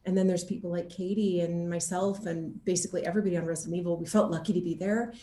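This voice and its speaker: A woman actor chatting casually in an interview and telling anecdotes in an animated, laughter-prone way. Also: lowish voice, fast talker, roomy sound, slight background noise.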